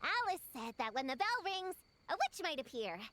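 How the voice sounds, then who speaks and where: A woman's voice: very high-pitched, very animated, moderate pace, pushed and bright, a little hiss or hum, exaggerated voice. A woman voicing an eager, stubborn young girl investigator in a video game, earnest and a bit self-important. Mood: insistent.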